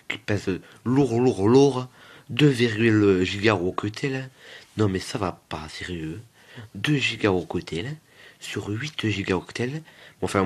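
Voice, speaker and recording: Very deep-voiced man rambling over gameplay. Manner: repeats himself and digresses, joking with viewers. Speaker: gaming video host. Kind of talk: casual, rambling gameplay commentary.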